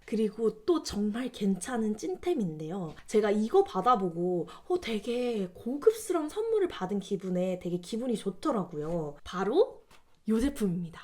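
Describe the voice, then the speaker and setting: Young woman with lively, swinging intonation. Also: medium pitch, moderate pace. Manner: polite, chatty, explanatory. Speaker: vlogger recommending gifts. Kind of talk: casual product recommendation to viewers.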